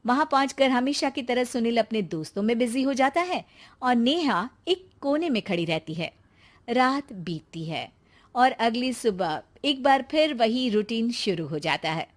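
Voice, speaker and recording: Female story narrator for cartoon tales: lively, swinging intonation, fairly high pitch. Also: unhurried.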